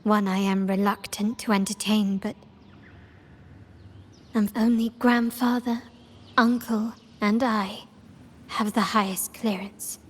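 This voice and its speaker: A woman playing a poised, guarded young heiress in a video game, speaking in a measured, formal and composed way. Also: medium pitch, fairly even intonation, in a large hall. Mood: grave, troubled. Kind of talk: dramatic video game dialogue.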